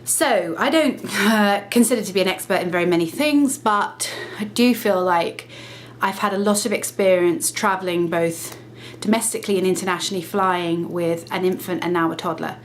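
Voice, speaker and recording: A woman's voice: on the low side, slight background noise. Woman vlogging with a slight cold. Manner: chatty, self-correcting, brisk explaining. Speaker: lifestyle vlogger. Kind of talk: casual talk to camera.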